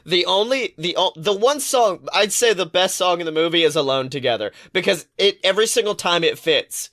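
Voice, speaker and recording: A man with a somewhat high-pitched voice talks as a podcast co-host. He chats casually about pop culture, rambling through stories in an animated way and swearing freely. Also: a little fast. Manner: animated, profane, rambling storytelling.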